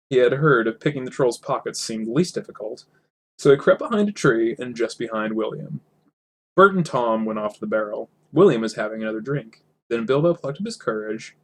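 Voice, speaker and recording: A man reads a story aloud as narrator. His pitch is middling, and he now and then stumbles on a word and repeats the phrase to get it right. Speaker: reader, narrator.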